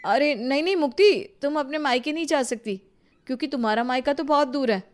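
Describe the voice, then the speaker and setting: A crafty elder sister-in-law in a cartoon family tale, voiced by a woman, pitched high. She is breezy and wheedling, dodging chores while bossing the younger wife around. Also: brisk, a little hiss or hum, close to an everyday voice. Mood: bossy, dismissive. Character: sly, shirking, bossy elder sister-in-law.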